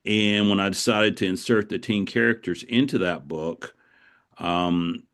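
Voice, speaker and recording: Middle-aged male author as interview guest, low voice, conversational and reflective, with a rambling explanatory style. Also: a bit bright. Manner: discursive, circling back as he explains.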